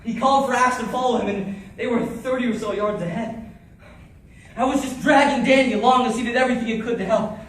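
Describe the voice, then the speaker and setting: A man's voice: very high voice, fairly even intonation, quick pace, fairly bright tone. A man performing a dramatized first-person war monologue as an anguished soldier, with intense, theatrical delivery. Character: anguished male soldier recounting losing his comrades in battle. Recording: echoey room, distant mic, faint noise.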